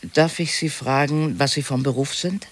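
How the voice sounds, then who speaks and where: A man's voice: mid-range voice, moderate pace, natural voice. A man playing a wary, inquisitive office worker in a naturalistic radio drama, politely probing and a little hesitant.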